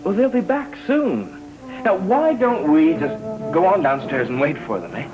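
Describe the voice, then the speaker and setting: An actor playing a placating, evasive family cousin in a gothic soap opera, with a coaxing delivery. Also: male voice, a little high, sing-song, moderate pace, highs cut off. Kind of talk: 1960s-70s gothic soap opera acting. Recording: old TV soundtrack, loud music behind.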